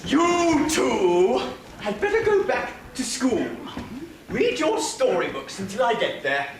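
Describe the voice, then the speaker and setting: A man's voice: very high-pitched, wildly swooping pitch, takes it slowly, exaggerated voice. A man with an English accent playing a scatty, motherly dame schoolteacher in an amateur stage pantomime. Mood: apologetic, cheery. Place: theatre stage. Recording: echoey noisy theatre, distant microphone.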